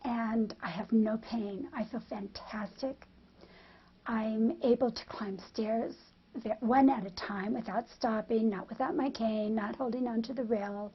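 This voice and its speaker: Slow, husky higher-voiced woman, muffled recording. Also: steady tone. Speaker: patient giving a testimonial. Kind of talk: unscripted testimonial.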